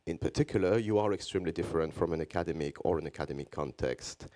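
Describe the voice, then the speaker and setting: A Belgian French man with a very low voice gives a conference talk in English. His delivery is measured and deliberate, with regular pauses between phrases. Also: mellow. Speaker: conference speaker, journalist. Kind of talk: conference presentation.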